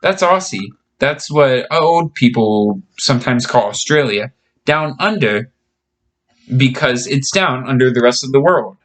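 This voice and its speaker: A man's voice: medium pitch, lively intonation, a little slow, a bit bright. A man voicing a friendly, reassuring young girl in a storybook reading, chatting and explaining things simply.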